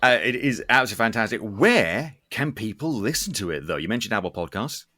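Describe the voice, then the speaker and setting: A man's voice: on the low side, very animated, brisk, bright, forceful tone, no room sound, clean studio sound. A man hosting a podcast in a casual, chatty way, enthusiastic and emphatic, given to swearing. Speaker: podcast host.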